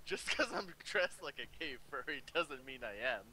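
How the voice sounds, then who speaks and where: A man's voice: medium pitch, expressive, normal speed, pushed and bright, a little hiss or hum, poor audio. A man chatting casually and bantering on a group livestream.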